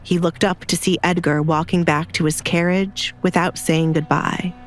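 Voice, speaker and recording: Low-voiced woman narrating a dramatic story. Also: fairly even intonation, normal speed. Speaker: audiobook narrator. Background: background music.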